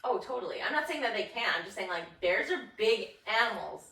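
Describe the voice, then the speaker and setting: A woman's voice: medium pitch, big pitch swings, fairly quick, gravelly, bright, forceful tone. A woman co-hosting a casual chat who laughs a lot and tells stories with exaggeration. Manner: giggly, exaggerating storyteller. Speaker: podcast co-host. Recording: echoey room, distant mic, noisy background.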